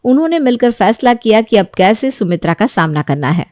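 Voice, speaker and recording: A woman narrating a moral cartoon story in an even storytelling manner. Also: medium pitch, a little fast, dry recording, studio quality.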